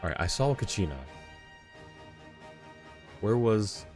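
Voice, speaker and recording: A man's voice: fairly low pitch, lively intonation, takes it slowly, a little mellow, faint music behind. A man giving casual live commentary as he streams a game, often muttering to himself.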